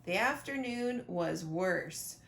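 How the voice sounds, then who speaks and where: A woman reading a children's picture book aloud expressively, pausing to talk directly to young listeners about the pictures. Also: fairly low pitch, animated, creaky, fairly bright tone. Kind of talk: animated children's storybook read-aloud with asides. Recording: roomy echo, faint background noise.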